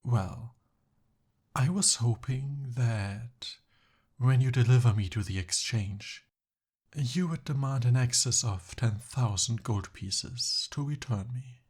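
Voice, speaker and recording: A man playing a smooth-talking, eager young captive prince in an ASMR roleplay, with formal, courtly and hesitant phrasing. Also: medium pitch, takes it slowly, darkish tone. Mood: hopeful, coaxing.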